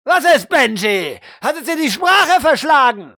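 Man playing a brutal drill instructor, shrill and barking. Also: very animated, measured pace, pushed and bright, dry recording, clean studio sound, heavily acted. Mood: taunting. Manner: barking, taunting, insulting. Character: brutal, contemptuous male military drill instructor. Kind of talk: audio role-play military drama.

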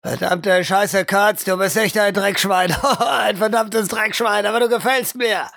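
A man playing a brash, foul-mouthed soldier in a role-play audio drama, loud, crude and swaggering. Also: sits high, fairly even intonation, unhurried, gravelly, no room sound, studio quality, voice pushed up, full character voice. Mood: gleeful, swaggering.

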